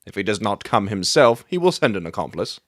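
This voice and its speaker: A man playing a self-assured gentleman detective in a dramatic audiobook, theatrical and articulate as he expounds his deductions. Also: lowish voice, very animated, brisk, dry recording, studio quality. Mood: confident, explaining.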